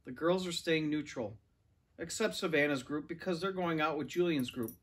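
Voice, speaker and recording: Male, thirties to forties, slightly higher-pitched voice, reading a kids' novel aloud at home. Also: moderate pace. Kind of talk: children's novel read-aloud. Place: large furnished living room, indoors. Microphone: camera or phone a few metres away.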